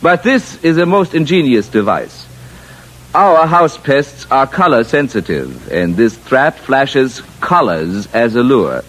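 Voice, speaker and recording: An actor playing a courteous, smugly confident alien official in an old radio drama, speaking stiffly and precisely without contractions. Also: male voice, medium pitch, big pitch swings, takes it slowly, a bit bright, full character voice. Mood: formal, explanatory. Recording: muffled vintage radio broadcast.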